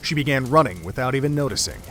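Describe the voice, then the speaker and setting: A man's voice: medium pitch, lively intonation, fast talker, pushed and bright, slight background noise. A man giving solemn, literary scripted narration for an audio drama.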